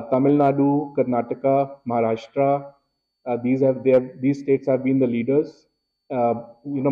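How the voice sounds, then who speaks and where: A middle-aged man with an Indian English accent, giving a keynote speech at a podium in a large wood-paneled hall, measured and full of filler "uh"s. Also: medium pitch, phone-quality sound. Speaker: keynote speaker. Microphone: podium microphone.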